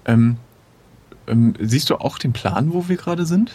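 Husky man playing an adventure game. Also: medium pitch, expressive, a little slow, dark tone. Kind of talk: casual improvised role-play.

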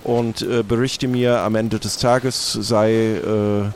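Flustered acting pirate captain played by a man, low register, formal and halting, for improvised tabletop adventure. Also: flat delivery, slight background noise. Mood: flustered, instructing. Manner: halting, hesitant, wordy.

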